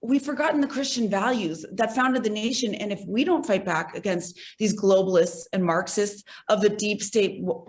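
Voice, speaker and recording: A woman's voice: medium pitch, moderate pace, hardly any pauses, close to an everyday voice. A woman playing an earnest, exasperated conspiracy believer with a reproachful manner in a one-act play. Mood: earnest, urgent.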